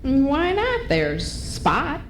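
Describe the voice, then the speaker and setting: A homely, gently skeptical mother from an old-time radio comedy. Her folksy voice is a little high and very animated, heard in a noisy, echoing room. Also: drawn-out words, heavily acted. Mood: puzzled. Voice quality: folksy, colloquial speech. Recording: echoey, noisy ballroom recording.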